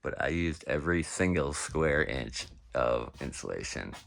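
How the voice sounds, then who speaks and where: A man's voice: very deep voice, animated, unhurried, gravelly, fairly bright tone, no room sound. A young man with a nasal voice vlogging casually about his off-grid cabin, rambling with lots of fillers and restarts. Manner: rambling, laid-back, many fillers and restarts. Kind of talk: casual talk to camera. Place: outdoors at an off-grid cabin property.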